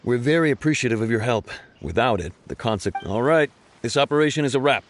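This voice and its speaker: An actor voicing a brisk, businesslike male officer in a game. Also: mid-range voice, expressive, faint music behind, lightly acted.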